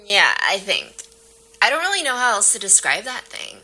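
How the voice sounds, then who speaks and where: A woman's voice: mid-range voice, very animated, normal speed, pushed and bright, full character voice, low-quality recording. A woman playing a hesitant, chatty young client in an audio drama, talking in a naturalistic, slangy way. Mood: hesitant, uneasy. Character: hesitant, casual young woman recounting a strange experience.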